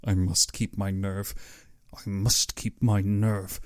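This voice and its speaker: A man's voice: on the low side, flat delivery, slow pace, a little mellow, no echo, clean studio sound. A man voicing a resolute, defiant hunted guest in an audiobook, speaking in terse, guarded phrases. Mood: tense.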